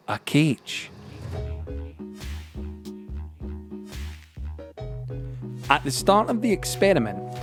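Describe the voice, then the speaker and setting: A man's voice: fairly high pitch, dry recording, clean studio sound, exaggerated voice. A man performing a playful, nervy storyteller in an audio play, explaining science straight to the listener in a chatty, mock-lecturing way over music. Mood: playful, conspiratorial. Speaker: actor and narrator in an audio play. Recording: music bed under the voice.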